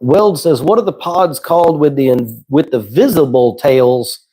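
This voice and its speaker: A man's voice: fairly high pitch, unhurried, slightly rough. A man hosting a casual livestream who is losing his voice, chatting easily and answering viewers' questions. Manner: relaxed, conversational, answers chat questions. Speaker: livestream host.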